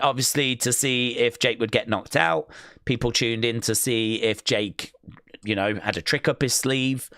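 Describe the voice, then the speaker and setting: Male streamer, mid-pitched, dry close mic, rambling casual chat with viewers. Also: a bit bright, no echo. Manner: rambling, lots of fillers and restarts. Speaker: streamer hosting a wrestling watch-along.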